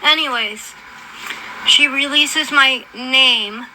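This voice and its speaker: A woman's voice: high voice, takes it slowly, very noisy. A woman in a fed-up, confrontational, profane off-the-cuff rant to an online audience. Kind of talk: angry off-the-cuff livestream rant.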